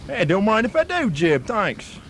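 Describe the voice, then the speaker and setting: A man's voice: sits high, sing-song, a bit bright, slight background noise, exaggerated voice. A man with a put-on Australian accent playing a stammering bushman who tells a spooky tall tale in a comic radio drama. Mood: jovial banter. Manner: stammering, drawn-out storytelling.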